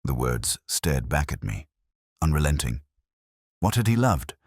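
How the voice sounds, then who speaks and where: Male story narrator, extremely deep, solemn and measured yet expressive in pitch, for first-person detective fiction. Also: slightly rough, no room sound, clean studio sound. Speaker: audiobook narrator.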